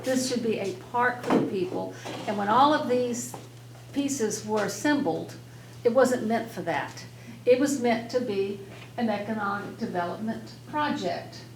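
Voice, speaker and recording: A soft-spoken woman on city council, addressing residents at a public meeting in an earnest, persuasive way that rambles a little. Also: fairly high pitch, unhurried, noisy background. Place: public meeting room, indoors. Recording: echoey meeting room.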